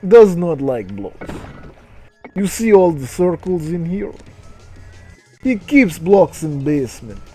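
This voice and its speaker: A man voicing a polite, stilted alien block in slow broken English, in a comedic reading. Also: a little high, very animated, dark tone, husky, soft background music, pitched above the performer's own voice, full character voice. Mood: aggrieved. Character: male Tetris block alien, polite, brave, stilted foreigner.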